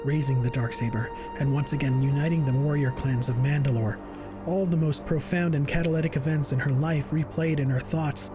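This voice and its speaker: A man's voice: medium pitch, fairly even intonation, fairly quick, music in the background. A man delivering dramatic scripted narration as the narrator of an audio drama. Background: dramatic score.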